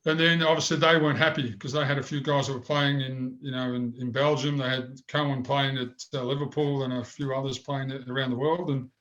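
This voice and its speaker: A man's voice: mid-range voice, little pitch movement, a little fast, hardly any pauses, fairly bright tone, poor audio. An older man with a big voice, telling rambling stories in an interview. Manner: rambling anecdotes with many restarts. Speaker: former goalkeeper, guest. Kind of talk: storytelling interview.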